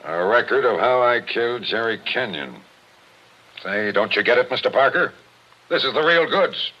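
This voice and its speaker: An actor playing an impatient, insistent investigator with a blunt, slangy, urgent manner, in 1940s radio drama style. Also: male voice, mid-range voice, expressive, normal speed, close to an everyday voice. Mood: excited, insistent. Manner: pushy, urgent, colloquial. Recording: dull old radio recording.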